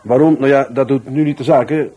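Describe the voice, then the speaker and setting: An actor playing a blunt, wry police investigator in a radio drama, offhand and musing, with a Dutch accent. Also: male voice, medium pitch, fairly even intonation, fairly quick, warm tone, lo-fi sound, lightly acted. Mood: wry, probing. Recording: faint ambient noise behind.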